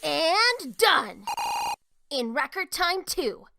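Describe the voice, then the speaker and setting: Brash young villainess female actor, bright swagger in a high register, lively swings, cocky slang, comic-dub attitude. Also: drawn-out words, creaky, noisy background, exaggerated voice. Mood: smug, triumphant. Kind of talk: comic dub cartoon acting.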